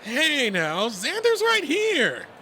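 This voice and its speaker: A man puts on an exuberant young beast-man fighter for a story. The voice sits high and bounces around in pitch, full of friendly bragging and slangy teasing. Some background noise sits behind it. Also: very animated, moderate pace, sharp tone, exaggerated voice. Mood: cocky, teasing.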